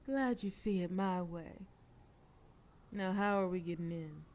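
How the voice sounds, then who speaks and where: Brief: a woman doing a ruthless lady mob boss, mellow voice, teasing and commanding. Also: on the low side, a little slow. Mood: cocky, teasing. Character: cocky, flirtatious female mafia boss, ruthless planner. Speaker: audio roleplay performer. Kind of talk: scripted audio roleplay.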